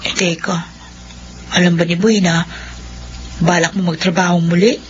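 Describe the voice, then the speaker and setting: An actress with a Filipino accent playing a calm, sensible friend giving advice in a radio drama. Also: female voice, fairly low pitch, moderate pace, muffled recording, slight background noise, lightly acted. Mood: concerned.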